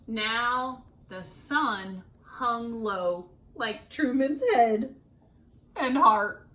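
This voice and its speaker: A woman reading a children's picture book aloud expressively, with dramatic, deliberate phrasing and emphasised words. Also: fairly high pitch, a bit bright. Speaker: read-aloud storyteller.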